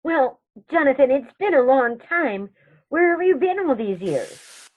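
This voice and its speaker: Over a thin, phone-like recording comes a prim old aunt, played by a woman. She is genteel yet firm and a little flustered, with a highish, expressive voice in radio comedy style. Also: a little slow. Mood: polite, uneasy. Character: prim elderly aunt, polite but firm. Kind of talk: stage comedy radio acting. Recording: thin phone-like band-limited sound.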